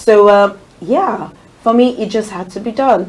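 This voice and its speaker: A woman's voice: medium pitch, a little fast. A woman in her forties from Nigeria, with a Nigerian accent, telling her story as a guest in a casual chat and acting out her own quotes. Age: 40s. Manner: animated storytelling, acts out quotes.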